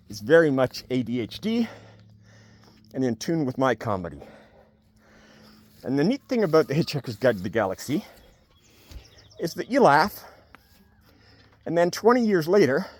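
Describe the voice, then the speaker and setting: A man's voice: a little high, big pitch swings, normal speed, pauses often. A man talking casually and spontaneously to camera in a rambling, wry, jokey way, like a vlogger giving a review. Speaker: video blogger giving a book review. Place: on a farm among cows.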